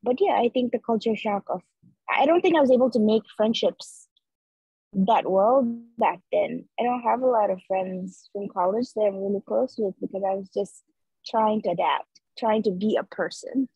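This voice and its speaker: A woman's voice: medium pitch, moderate pace, warm tone, breathy edge, no echo. A young woman from Nepal, speaking earnestly as an interview guest over a video call, with lots of filler likes. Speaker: interview guest, street artist. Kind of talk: casual reflective conversation. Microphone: video call laptop mic. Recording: dull video call audio.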